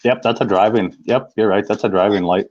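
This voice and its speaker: A man's voice: on the low side, fairly even intonation, fairly quick, darkish tone, lo-fi sound. A man joking and laughing on a live stream chat, greeting viewers by name. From northern United States. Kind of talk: casual chat.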